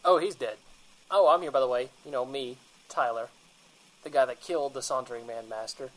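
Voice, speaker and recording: A man's voice: medium pitch, fairly quick, lightly acted, rough recording. A man playing a cocky, defensive, paranoid monster hunter in a comedy radio drama.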